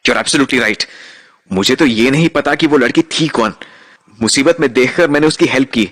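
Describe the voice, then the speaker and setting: A man's voice: medium pitch, moderate pace, fairly bright tone, close to an everyday voice. A man acting as a naive, anxious, good-hearted young traveller in an audio drama, speaking in an emotive, dramatic way. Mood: bewildered, anxious. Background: background music.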